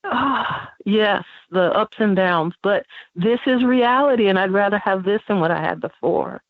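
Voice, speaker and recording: A woman's voice: medium pitch, a little slow, no room sound. A woman phoning in to a radio show as a caller, chatting casually in an effusive, giggly way. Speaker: phone-in caller. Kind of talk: casual, admiring chat. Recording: tinny narrow phone line.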